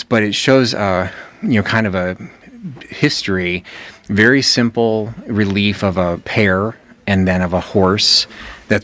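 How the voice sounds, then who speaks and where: A man with a deep, low voice talks his way through an antique shop, filming on a handheld phone. He is chatty and loose, thinking aloud with small laughs and fillers as he sizes up items to resell. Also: a bit bright. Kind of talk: casual shopping vlog narration. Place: indoors in an antique auction shop.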